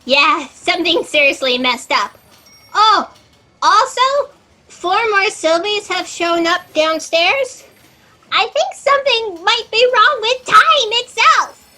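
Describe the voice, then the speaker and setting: An actress plays a scatterbrained, eager woman checking facts in a cosmic office. Her voice is very high and bright, with lively swings in pitch. She rambles cheerfully and obliviously, in the manner of comedic sci-fi audio drama. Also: slow, exaggerated voice. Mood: flustered.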